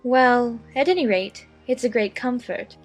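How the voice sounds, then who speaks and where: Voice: medium pitch, measured pace, faint music behind, pitched above the performer's own voice, natural voice. A narrator voicing a curious, chatty little girl who muses to herself in a children's audiobook. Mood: puzzled. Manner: musing aloud to herself.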